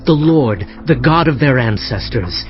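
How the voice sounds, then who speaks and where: An actor playing a solemn, fervent king of Judah in a dramatized Bible audiobook, proclaiming in drawn-out, exhorting phrases. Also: medium pitch, lively intonation, natural voice. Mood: earnest pleading. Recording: slightly dull sound with music behind. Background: music.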